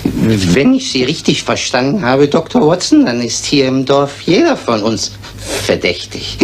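An actor playing a nervous, superstitious village postman, excitable and timid, in a German radio crime drama. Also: medium pitch, measured pace, a little hiss or hum. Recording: busy crowded pub ambience.

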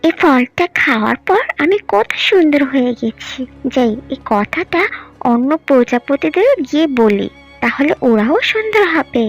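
A woman's voice: high voice, big pitch swings, a little slow, muffled recording, soft background music, heavily acted. A woman voicing a small, cheerful female butterfly in a cartoon, chirpy and wondering.

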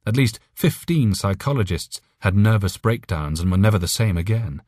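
A man's voice: lowish voice, lively intonation, normal speed, darkish tone, no echo, studio quality. A man reading a short story aloud as an audiobook narrator, in scripted narration.

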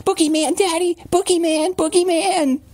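A man's voice: fairly even intonation, moderate pace, a little mellow, no echo. A man voicing a terrified, pleading small child in a horror story. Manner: shrill, pleading.

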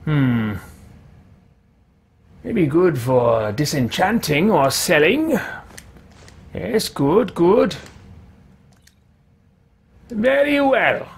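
A man playing a haughty, impatient wizard adventurer in a video game roleplay, muttering to himself in formal, pompous, halting phrases. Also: on the high side, sing-song, gravelly, slightly rough, exaggerated voice. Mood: musing. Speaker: let's play host. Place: small home room, indoors.

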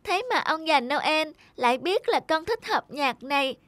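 A woman's voice: expressive, unhurried. A woman voicing a sweet little schoolgirl. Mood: tender, wondering. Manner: high, eager childlike voice. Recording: clear background music.

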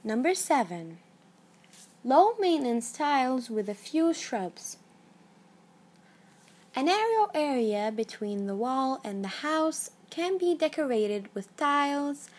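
A woman giving scripted narration of a numbered list in a measured, even read-aloud delivery. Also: sits high.

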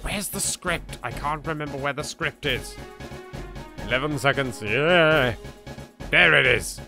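A man's voice: a little high, unhurried, vocal fry. An English man giving dry, sarcastic commentary over a game he plays. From England. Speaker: let's play voice actor. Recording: game music behind.

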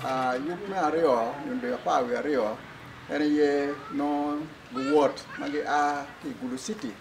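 A man's voice: on the high side, fairly even intonation, moderate pace. An older man with a Ugandan accent, a church official explaining things in an interview outdoors. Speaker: church official, diocesan secretary. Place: outdoors in front of a building. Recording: clear background noise, outdoor ambience.

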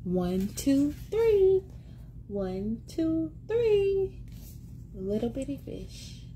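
High, very slow-paced woman reading to children. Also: slight background noise, low-quality recording. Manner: expressive, sing-song, encouraging, repeats words for children. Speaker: children's read-aloud host and teacher. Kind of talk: animated picture book read-aloud for young children. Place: small indoor room at home. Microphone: phone or tablet at arm's length.

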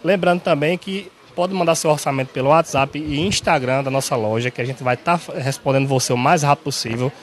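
A young man with a Northeast Brazilian accent giving an on-air sales pitch from a pharmacy into a handheld mic, steady and salesy, often tagging lines with a quick check. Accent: Northeast Brazilian Portuguese. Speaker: pharmacy staff member. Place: large bright pharmacy, indoors. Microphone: handheld station mic held by interviewer.

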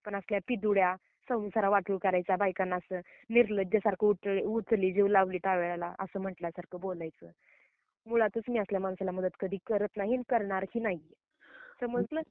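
A woman's voice: mid-range voice, fairly even intonation, brisk, no echo. A woman with a Maharashtrian Marathi accent, scolding emphatically and indignantly in a heated phone argument. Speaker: prank call recipient. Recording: narrow tinny phone-call recording.

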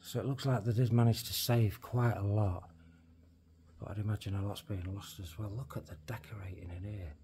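A British man giving casual, low-key running commentary, musing aloud as he explores. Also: steady tone, fairly quick, warm tone. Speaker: urban explorer and vlogger. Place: inside a large derelict building, walking. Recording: faint background noise, indoor ambience.

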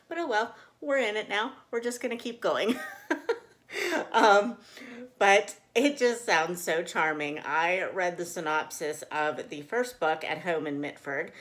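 A woman's voice: lowish voice, fairly bright tone. A cheerful woman in her thirties chatting casually to camera as a book vlog host. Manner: cheerful, chuckles often. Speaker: host of a book channel and read-along co-host. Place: small living room indoors.

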